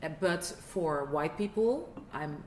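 A woman's voice: low-pitched, animated, slow pace, darkish tone, slight background noise. A woman co-hosting a podcast, speaking earnestly and hesitantly, with frequent "eh" fillers. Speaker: podcast co-host. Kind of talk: casual interview chat. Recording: roomy, faint ambience.

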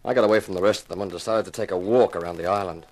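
A cool-headed, hard-boiled investigator played by a male actor with a lowish voice. He is wry and matter-of-fact, both narrating and acting in 1950s radio detective style. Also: fairly even intonation, rapid-fire, darkish tone, slight background noise, lightly acted. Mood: tense, narrating. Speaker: narrator and lead.

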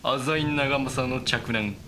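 A man playing a cold, broken Japanese warlord in a period voice drama, speaking archaic lordly lines deliberately with dramatic pauses. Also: mid-range voice, bright, forceful tone, a little hiss or hum. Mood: coldly ruthless. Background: dramatic music.

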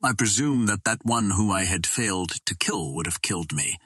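Man reading as gallant Earthman captain, storybook hero, husky, low, formal, proud, dry close sound. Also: lively intonation, normal speed, a bit bright, no room sound. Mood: courteous. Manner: formal, courtly, firm.

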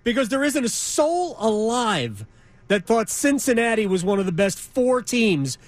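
A man's voice: high-pitched, big pitch swings, measured pace, no echo. A man hosting a sports talk radio show in a casual, emphatic and opinionated way on a studio microphone. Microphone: close studio microphone.

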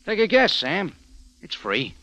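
An actor playing a tough, wisecracking American private eye in 1940s radio detective style, sardonic and slangy, both in dialogue and narration. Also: male voice, high voice, wildly swooping pitch, measured pace, heavily acted. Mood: probing, wry. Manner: hard-boiled, sardonic, slangy. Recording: muffled old radio drama, music behind.

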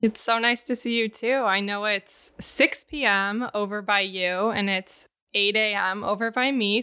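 A woman's voice: mid-range voice, sharp tone, clean tone, no echo, clean studio sound. A woman hosting a podcast, introducing her guest with upbeat enthusiasm. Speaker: podcast host.

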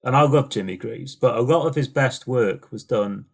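A man's voice: mid-range voice, moderate pace, vocal fry, breathy edge. A man in a casual chat, making thoughtful points and laughing between them.

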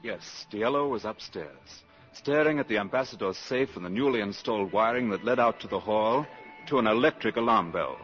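A man giving scripted, suspenseful, measured narration for a 1950s radio drama. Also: fairly low pitch, fairly bright tone. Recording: dull old radio broadcast, faint music.

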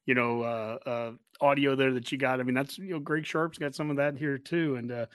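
A man's voice: medium pitch, steady tone, fairly quick, a bit bright, dry recording, clean studio sound. An American man hosting a podcast in a casual sports interview chat. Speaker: podcast host.

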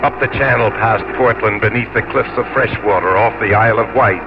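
An actor playing a brave English sea captain, with earnest, dramatic delivery in an old radio drama. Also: male voice, on the low side, brisk, vocal fry. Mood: solemn narration. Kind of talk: old-time radio drama acting and narration. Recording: muffled 1950s radio with loud music.

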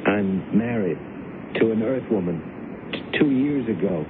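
Lonely alien, tinny noisy radio. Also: male voice, lowish voice, animated, measured pace. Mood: troubled. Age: 30s. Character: lonely homesick male alien posing as Earth man. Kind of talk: radio drama acting.

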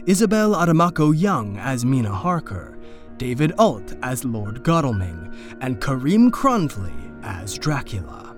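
Podcast credits voice, upbeat and swingy, listing names fast. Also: medium pitch. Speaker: credits announcer. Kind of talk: reading end credits.

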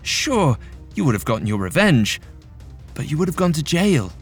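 A man's voice: sing-song, moderate pace, slightly rough, faint music behind, exaggerated voice. A man voicing a pompous young male entrepreneur who pleads in a raised, strained voice. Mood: pleading. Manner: pleading, high-pitched.

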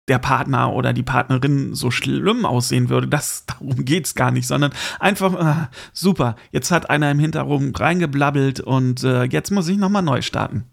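Male podcast host, fairly high voice with heavy creak, informal and chatty. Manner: chatty, informal, addresses listener directly. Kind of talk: casual solo podcast monologue with gift tips.

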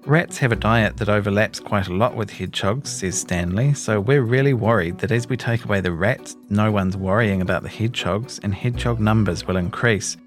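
Low-voiced New man narrating a feature. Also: normal speed, hardly any pauses, soft background music. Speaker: narrator reading a newspaper feature. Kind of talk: scripted narration of a news feature.

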